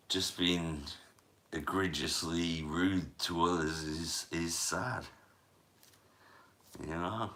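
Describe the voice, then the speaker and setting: A man hosting a casual live stream in a monotone voice, with many trailing fillers. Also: sits low. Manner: rolling a cigarette while talking. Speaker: livestream host.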